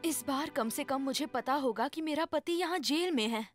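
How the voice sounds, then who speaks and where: Woman as a high-voiced, determined cartoon bride-to-be. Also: moderate pace, soft background music, lightly acted. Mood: matter-of-fact. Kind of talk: Hindi cartoon dub acting.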